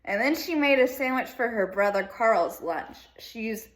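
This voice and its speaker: A woman teacher reading a children's picture book aloud in an expressive way, with casual asides to young pupils. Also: on the high side, normal speed. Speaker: second grade teacher.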